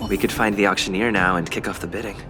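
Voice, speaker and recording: A man plays a composed game companion with a lowish voice, giving information calmly. Music runs behind him. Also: fast talker, natural voice. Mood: matter-of-fact. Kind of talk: animated game voice acting.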